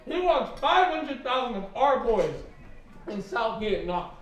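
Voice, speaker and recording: A man's voice: extremely high pitch, very animated, unhurried, fairly bright tone, full character voice. An actor playing an indignant, conservative American father who rants in a declamatory way on stage. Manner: ranting, declamatory. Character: gruff, indignant conservative middle-aged American father and husband. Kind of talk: stage acting in a one-act play. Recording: echoey stage recording, distant mic.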